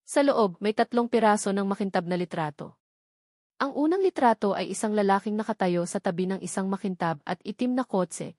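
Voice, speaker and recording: A woman's voice: mid-range voice, darkish tone, no echo. Filipino woman narrating, close and dry. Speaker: story narrator. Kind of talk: scripted dramatic narration of a love story.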